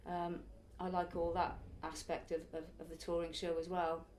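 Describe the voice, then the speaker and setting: A woman's voice: on the low side, monotone, moderate pace, darkish tone, a little hiss or hum. A woman in her early forties, a circus performer and youth circus teacher, answering interview questions in a chatty, reflective way. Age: early 40s. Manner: chatty, reflective, laughs now and then.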